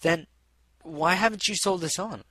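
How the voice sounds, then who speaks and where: A haughty pony lady mayor, acted by a male narrator, pitched up and bright. She is cool, clipped and disdainful, quick to doubt whoever she talks to, in a storybook style with a close, dry sound. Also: dry recording. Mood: skeptical, disdainful. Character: irritated, haughty female pony mayor and cellist, skeptical.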